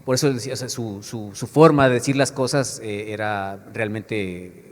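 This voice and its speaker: A man's voice: lowish voice, normal speed. Hesitant man moderator, echoey hall. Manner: hesitant, many fillers and restarts. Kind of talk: panel discussion. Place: book fair panel hall.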